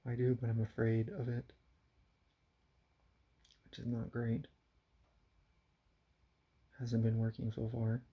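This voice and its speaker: A young man talks softly to his camera at home, his voice gravelly and a little husky. His delivery is halting and subdued, broken by sighs. The dull, lo-fi camera audio keeps it flat and close. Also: mid-range voice. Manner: quiet, subdued, halting and sighing. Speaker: vlogger. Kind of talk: confessional vlog to camera. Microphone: camera mic.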